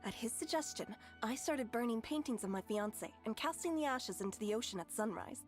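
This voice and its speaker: A woman's voice: on the high side, expressive, a little fast, lightly acted. An actress voicing a naive young woman who earnestly gushes about her faith. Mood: gushing. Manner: earnest and gushing. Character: naive devoted young woman, gushing believer. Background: game music.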